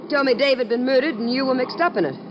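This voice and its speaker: An actress playing a proud, defiant woman pilot under suspicion in old radio drama, crisp and direct. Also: high-pitched, quick pace, close to an everyday voice. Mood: guarded. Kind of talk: golden age radio drama acting. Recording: noisy muffled old radio recording, long reverb.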